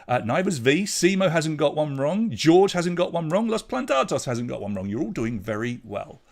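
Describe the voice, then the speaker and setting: Chatty English quizmaster, lively delivery. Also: male voice, a little high, moderate pace, vocal fry. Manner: chatty, jokey banter with players between questions. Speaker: quiz host. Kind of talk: hosting a live online pub quiz.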